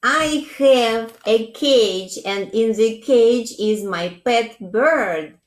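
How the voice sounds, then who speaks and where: A woman plays an excitable, proud little boy mole from a picture book. The voice is lifted high and stretches out each exclaimed word very slowly. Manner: exclaiming, drawn-out words. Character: young male mole, proud, excitable and loving.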